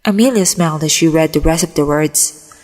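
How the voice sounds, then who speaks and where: A woman's voice: deep voice, brisk, a little mellow. A woman voice actor giving a dramatic reading of a short story, with long reverb around her voice. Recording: long reverberant hall echo.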